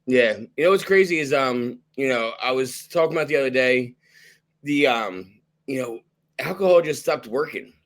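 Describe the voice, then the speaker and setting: A man's voice: medium pitch, animated, moderate pace. Laid-back man hosting a podcast. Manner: laid-back, laughs often, swears casually. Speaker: podcast host. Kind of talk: casual interview chat.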